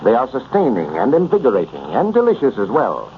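A man's voice: medium pitch, wildly swooping pitch, a little fast. A man with an American accent announcing and reading sponsor messages in 1930s radio style. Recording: muffled, noisy 1930s radio recording.

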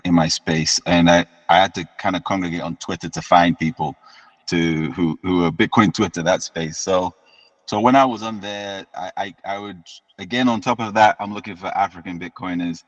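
A man, telling his story as an interview guest in a relaxed way and often repeating words as he restarts. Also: deep voice. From United Kingdom. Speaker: guest musician. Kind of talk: casual interview chat. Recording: dull lo-fi sound with long reverb.

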